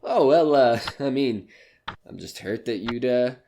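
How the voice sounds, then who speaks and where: A man's voice: medium pitch, lively intonation, unhurried, mellow, a little hiss or hum. A man playing a desperate, nervous small-time comedian in an audio horror drama, stammering and pleading.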